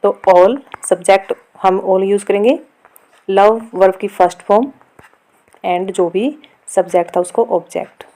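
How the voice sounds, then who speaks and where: Slow-paced Indian woman teaching. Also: medium pitch, dark tone, poor audio. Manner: instructional, reads example sentences slowly and deliberately. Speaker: grammar teacher. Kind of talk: step-by-step lesson explanation mixing Hindi and English.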